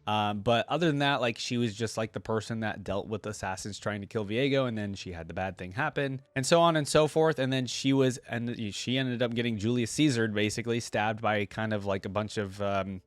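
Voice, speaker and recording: A man's voice: lowish voice, fairly quick, few pauses, no room sound. A man hosting a reaction video, switching between casual, informal commentary and reading a story aloud.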